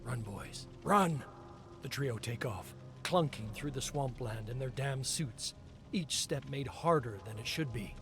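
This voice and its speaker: A man streaming, reading game lore aloud in a slow, deliberate storytelling delivery. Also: medium pitch. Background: clear background music.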